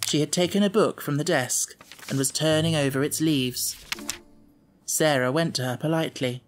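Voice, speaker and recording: A man's voice: fairly high pitch, normal speed, slight background noise. A man reading a children's story as scripted audiobook narration. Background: music.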